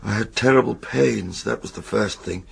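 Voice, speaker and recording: An actor playing a subdued, ailing man with a gravelly, husky voice, weary and hesitant, in a radio drama. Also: lowish voice, normal speed, heavily acted. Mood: weak, suffering. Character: subdued, ailing male solicitor.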